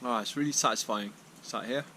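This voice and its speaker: A man vlogging on a hiking trip, thinking aloud in a relaxed way with fillers and repeats, recorded on an action camera. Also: mid-range voice, fairly quick, slight background noise. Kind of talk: vlog narration to camera. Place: outdoors on a walk, then sheltering in a barn.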